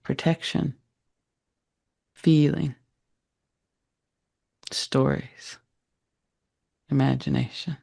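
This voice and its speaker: A man leading a guided meditation in a soft, breathy, husky voice, speaking deliberately in short phrases with long pauses. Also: medium pitch, steady tone. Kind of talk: guided meditation, slow soothing narration.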